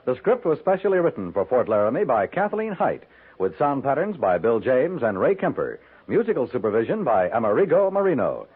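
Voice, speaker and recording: Animated male 1950s radio announcer. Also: medium pitch, fairly quick, breathy edge. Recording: phone-like 1950s radio recording with music.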